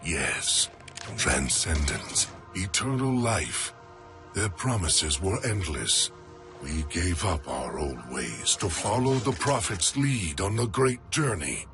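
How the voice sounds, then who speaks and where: Deep grave alien elder. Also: male voice, big pitch swings, slow, over music, full character voice. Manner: grave and brooding. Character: weary male alien warrior elder, grave.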